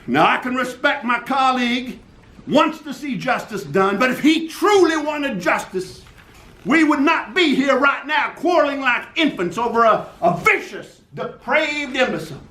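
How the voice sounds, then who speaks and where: A man's voice: very high voice, lively intonation, unhurried, bright, forceful tone, roomy sound, heavily acted. A man voicing a theatrical Southern lawyer who pleads emotionally to a jury. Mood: indignant. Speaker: defense attorney Mister Lee. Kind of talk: amateur radio play reading.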